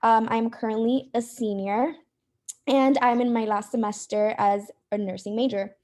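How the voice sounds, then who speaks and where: A woman's voice: fairly high pitch, fairly even intonation, normal speed, warm tone. A young woman from Cuba, president of a student program, introducing the board in a casual, friendly talk with many ums. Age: 20s. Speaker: club president. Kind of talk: casual presentation to a student group.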